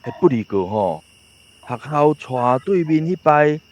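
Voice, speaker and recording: A man's voice: fairly high pitch, sing-song, measured pace. An actor with a Taiwanese accent playing a talkative, opinionated male villager in a radio drama. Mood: chatty. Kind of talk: conversational radio drama acting.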